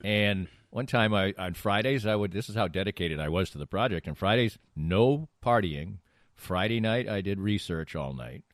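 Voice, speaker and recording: A man's voice: fairly low pitch, normal speed, no room sound, studio quality. A middle-aged man co-hosting a podcast, telling stories in casual banter with a rambling, emphatic delivery. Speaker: podcast co-host.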